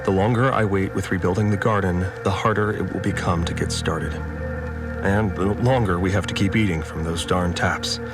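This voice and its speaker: A low-voiced farmer confides in an audio diary for a science fiction drama. He is troubled, devout and obedient, halting and repeating words as he confesses. Music plays clearly beneath him. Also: fairly even intonation. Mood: guilty, anxious.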